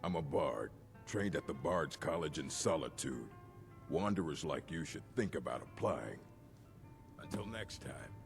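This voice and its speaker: An actor playing a self-important orc bard in a fantasy game, with a florid, theatrical and courtly way of talking. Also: male voice, rumbling low, lively intonation, unhurried, gravelly, faint music behind, full character voice. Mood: courtly, pompous.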